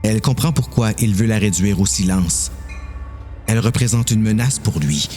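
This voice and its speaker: A man narrates a suspense story from a script. His delivery is dramatic, over soft background music. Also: lowish voice, normal speed.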